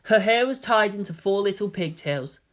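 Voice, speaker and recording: A woman reading scripted fiction aloud as an expressive story narrator. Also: mid-range voice, moderate pace.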